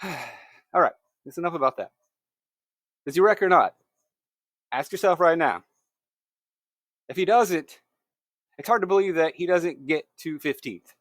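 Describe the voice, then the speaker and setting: A man's voice: high-pitched, no echo, clean studio sound. A man hosting a solo fantasy racing picks show, talking in a conversational, emphatic way that corrects itself, his throat a little scratchy.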